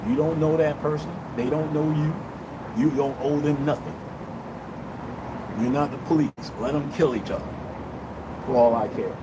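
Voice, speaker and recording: A man's voice: on the high side, steady tone, moderate pace, long pauses. A man in his fifties hosting a livestream from a city balcony, giving blunt, preachy opinions straight to his viewers. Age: 50s. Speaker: livestream host. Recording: loud outdoor street noise. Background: city traffic and outdoor noise.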